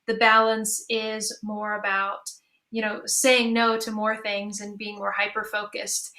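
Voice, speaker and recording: A woman's voice: medium pitch, level tone, measured pace, sharp tone, poor audio. A woman chatting casually and informally on a panel as a creative artist. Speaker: musician and audio drama creator on a panel.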